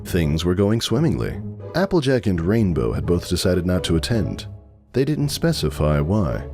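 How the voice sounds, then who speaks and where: A man's voice: sits low, a little mellow. A man giving a scripted dramatic reading of a story as its narrator.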